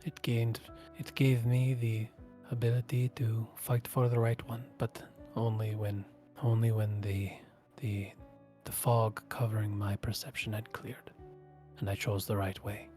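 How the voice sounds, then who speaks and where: A man's voice: on the low side, level tone, a little slow, mellow, faint music behind, natural voice. Man voicing a solemn, weary immortal guardian. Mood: grave.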